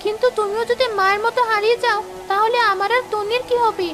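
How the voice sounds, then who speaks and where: A woman's voice: extremely high pitch, fairly even intonation, fast talker, fairly bright tone. A woman voicing an earnest, emotional young village daughter in a cartoon drama. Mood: worried. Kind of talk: dramatic cartoon child-character acting. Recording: music and rain behind voice.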